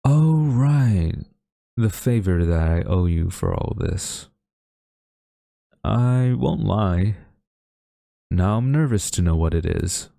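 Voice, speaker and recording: A man's voice: on the low side, expressive, vocal fry, mellow, no room sound, studio quality, heavily acted. A man acting a young university student in a romantic audio roleplay, a defensive, secretly smitten rival who grumbles and gets flustered. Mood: hesitant, nervous. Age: young adult. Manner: flustered, grumbling, halting denials.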